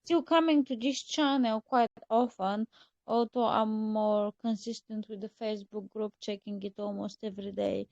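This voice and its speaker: A woman from Bulgaria joining a casual group video call, chatty and soft, with frequent laughter. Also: medium pitch, fairly even intonation, normal speed, creaky, no echo, dull audio.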